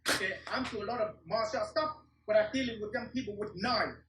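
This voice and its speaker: A man's voice: sits high, fairly quick, background noise. A middle-aged man from Vietnam, a martial arts teacher telling his story in an interview in blunt, broken English and swearing often. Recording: roomy echo, distant mic.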